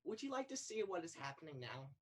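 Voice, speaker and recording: A young actress plays an earnest spirit guide to the afterlife in a one-act play. The delivery is probing, solemn and urgent, with pitch that moves widely from phrase to phrase. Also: female voice, very animated, fast talker, a little mellow, slightly rough, full character voice. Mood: grave. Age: young adult. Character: earnest, insistent afterlife guide questioning the dead. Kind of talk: student one-act stage play acting.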